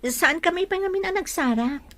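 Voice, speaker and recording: A woman's voice: extremely high pitch, expressive, normal speed. A woman acting a sweet, reassuring young woman in love in a radio-style drama. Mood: respectful, cheerful.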